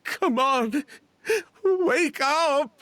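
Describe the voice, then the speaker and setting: A man voicing a grief-stricken young man in a video game, crying and desperate, with a husky, strained voice. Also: very high voice, animated, very slow, pushed and bright, a little hiss or hum, heavily acted. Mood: distraught.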